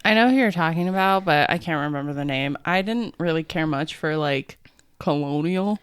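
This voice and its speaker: A young adult woman co-hosting a podcast in casual chat. She is soft-spoken and makes short, dry remarks. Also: low voice, moderate pace, clear, resonant tone, no room sound. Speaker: podcast co-host.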